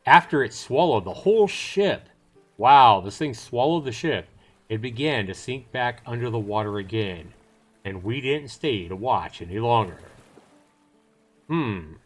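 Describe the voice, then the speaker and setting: A man's voice: medium pitch, expressive, a little slow, faint music behind. A man livestreaming a visual novel, reading it aloud and adding chatty commentary as he thinks aloud. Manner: chatty, thinks aloud between read passages. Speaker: livestream host.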